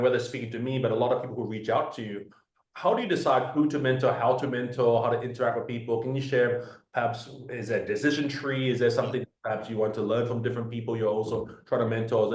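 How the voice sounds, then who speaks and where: A man interviewing a guest, earnest and asking long multi-part questions. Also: medium pitch. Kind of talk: fireside chat interview. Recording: echoey room.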